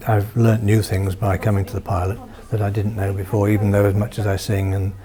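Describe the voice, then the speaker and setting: A man who sings in choirs, speaking in a measured, matter-of-fact way. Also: fairly low pitch, level tone, mellow. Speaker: choir singer, group participant. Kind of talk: interview testimony. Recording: crowd chatter behind. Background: people chatting.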